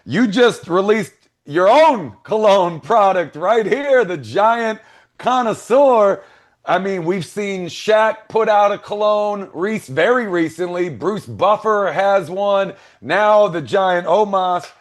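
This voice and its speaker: A man hosting a sports interview show, energetic and hyping up his guest. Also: high voice, takes it slowly. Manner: energetic, hype-building. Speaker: host.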